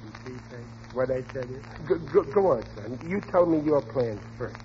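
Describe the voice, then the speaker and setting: A man's voice: medium pitch, animated, normal speed, dark tone, slight background noise, lightly acted. A man with an American accent playing a blustering, uneducated but well-meaning middle-aged father in a 1940s radio comedy, stammering and repeating himself, on an old muffled recording. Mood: proud, fond.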